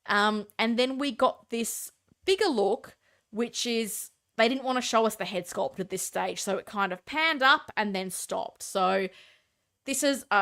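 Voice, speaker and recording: A woman's voice: on the high side, a bit bright. A woman with a stuffed-up, congested voice hosts a casual live stream, chatting opinionatedly and answering viewer comments.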